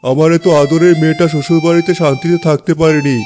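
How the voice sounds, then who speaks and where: A man's voice: fairly high pitch, fairly even intonation, fast talker, a little mellow, close to an everyday voice. A man voicing a doting, protective father in a cartoon. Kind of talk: Bengali cartoon dub. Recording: faint music behind the voice.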